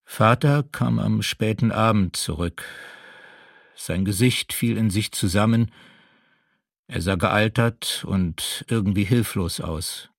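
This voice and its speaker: A man's voice: lowish voice, slow pace, creaky, no echo, studio quality, heavily acted. An actor playing a sombre male witness recalling his childhood soberly in a documentary radio play.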